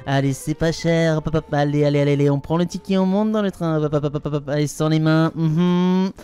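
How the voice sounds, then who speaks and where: A man putting on a loud, goofy French Caribbean accent, chanting and hyping up his live chat. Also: fairly high pitch, steady tone, fairly quick, smooth, soft background music, close to an everyday voice. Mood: hyped goofy. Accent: put-on French Caribbean accent. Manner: loud, sing-song, with hop-hop chants. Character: goofy man, clownish and hyped-up.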